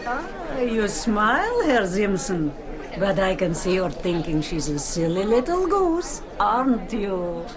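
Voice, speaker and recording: A woman's voice: mid-range voice, sing-song, takes it slowly. An actress playing a condescending, teasing society woman in a radio drama. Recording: busy chatter of a crowd behind.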